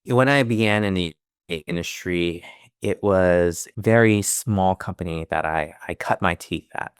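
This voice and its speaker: A man's voice: low-pitched, unhurried, smooth, dry recording, clean studio sound. A man in his thirties, raised in America speaking Chinese first at home, answering interview questions earnestly with frequent restarts. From United States. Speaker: financial advisor, interview guest.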